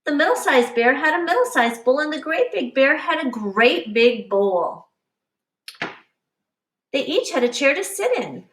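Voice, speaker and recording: A woman teacher reading a picture book aloud to young children in an expressive, instructive way, pausing to explain and addressing them directly. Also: high voice. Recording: roomy, echoing, distant microphone.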